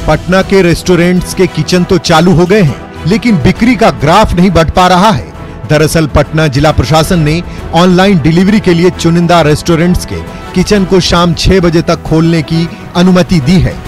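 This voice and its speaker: A man delivers scripted news narration. His voice sits fairly high, with faint music running underneath. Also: normal speed. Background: faint music bed.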